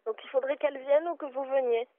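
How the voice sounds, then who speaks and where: Polite, firm bookshop clerk on the phone. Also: female voice, high voice, steady tone, quick pace, no room sound. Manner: polite but firm, patient. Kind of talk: customer service phone call. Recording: tinny phone line.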